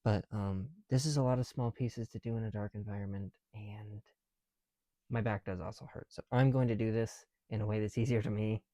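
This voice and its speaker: A man talking casually and informally to viewers in a soft, mellow voice, in the manner of a homemade ASMR video. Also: fairly low pitch, no room sound, lo-fi sound. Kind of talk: casual ASMR chat while building bricks. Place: small room at home, on the floor.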